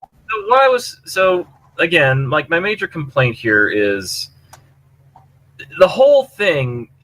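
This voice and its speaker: A man's voice: on the high side, sing-song, takes it slowly, a bit bright, poor audio. A man co-hosting a casual group discussion of a cartoon episode, speaking in an opinionated, emphatic way. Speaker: podcast co-host.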